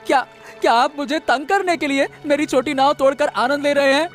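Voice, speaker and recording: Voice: high voice, a little fast, soft background music, lightly acted. A performer voicing a poor male fisherman in a cartoon who wails and pleads. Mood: pleading. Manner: wailing and pleading. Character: poor male fisherman, upset but hopeful.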